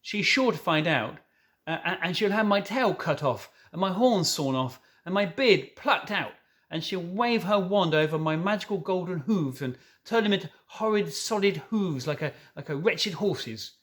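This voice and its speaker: A man's voice: high-pitched, expressive, moderate pace, a bit bright, pitched above the performer's own voice. A man reading a storybook as an anxious, tearful male fawn who stammers and pleads. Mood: tearful dread. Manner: stammering, pleading, self-reproaching.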